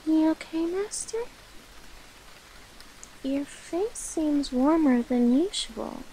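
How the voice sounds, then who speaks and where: A woman playing an affectionate, sleepy young cat-girl familiar in a soft, coaxing, playful ASMR roleplay. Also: high voice, lively intonation, slow, a little hiss or hum, exaggerated voice, rough recording. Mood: affectionate, tender.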